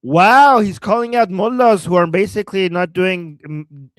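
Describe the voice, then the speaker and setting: A man with a Persian accent, pitched a bit high, hosting a live stream in an animated, jokey way. He laughs often and bursts out in reaction to chat messages. The sound is close and dry. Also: moderate pace, smooth, no echo. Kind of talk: casual live-stream commentary.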